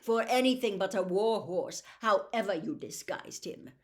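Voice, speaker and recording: A woman's voice: medium pitch, lively intonation, moderate pace, darkish tone, close to an everyday voice. A woman voicing a haughty young noble girl in a storybook, speaking with scornful emphasis. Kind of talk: storybook character voice.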